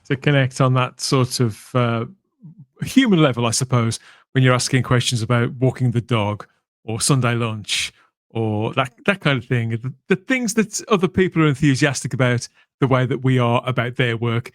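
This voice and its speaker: A man's voice: mid-range voice, normal speed, no echo, clean studio sound. A man hosting a podcast in a casual, chatty way with wry, jokey asides. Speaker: podcast host.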